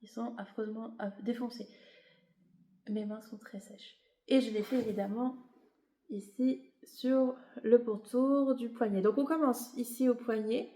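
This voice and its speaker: A woman's voice: fairly high pitch, fairly even intonation, measured pace, dark tone. Chatty woman with a cold, echoey room. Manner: chatty, rambling, many fillers and self-corrections. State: has a cold; a bit tired. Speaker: knitting vlogger. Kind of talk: casual show-and-tell explanation.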